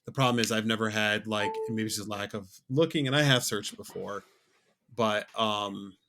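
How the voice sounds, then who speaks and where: A young man co-hosting a podcast, talking in a relaxed, rambling way with many fillers. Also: on the low side, normal speed, slight background noise. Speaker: podcast co-host. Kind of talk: casual chat.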